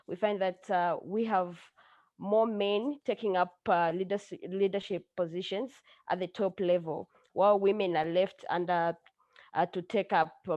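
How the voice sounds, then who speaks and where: A woman from Zambia speaking earnestly, in a halting way with many fillers, over a video call. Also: medium pitch, darkish tone, dry recording, dull audio. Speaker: judo association mentee presenting.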